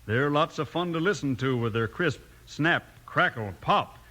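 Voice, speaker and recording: Bright, high-voiced male ad pitchman. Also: expressive, a little slow. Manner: folksy, boyishly enthusiastic. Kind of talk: scripted 1950s radio cereal ad.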